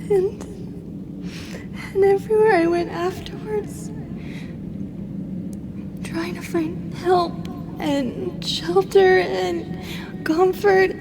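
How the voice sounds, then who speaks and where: A woman voicing a lonely, sorrowful female drider raised by humans in an audio roleplay, speaking in a hesitant, halting, emotional way. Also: very high voice, steady tone, heavily acted. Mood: anguished. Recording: clearly noisy background.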